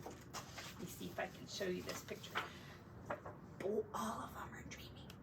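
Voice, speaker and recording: A woman teacher reading a picture book to young children expressively, with gentle, simple asides, recorded on a device set back from her. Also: mid-range voice, steady tone, moderate pace, creaky. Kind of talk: picture-book read-aloud with chatty asides. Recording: distant mic with faint background noise.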